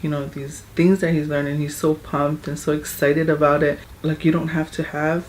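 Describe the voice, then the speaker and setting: A woman's voice: low-pitched, level tone, normal speed, a little mellow, slight background noise. A middle-aged woman, a mother speaking casually and frankly in an interview, with many "you know"s. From Hawaii. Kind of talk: testimonial interview.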